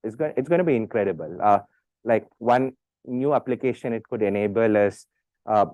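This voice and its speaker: Man, halting speech, muffled audio. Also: on the low side, expressive, darkish tone, no echo. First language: Tamil. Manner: hesitant, many fillers and restarts. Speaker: speaker at an institute talk. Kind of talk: talk with audience questions.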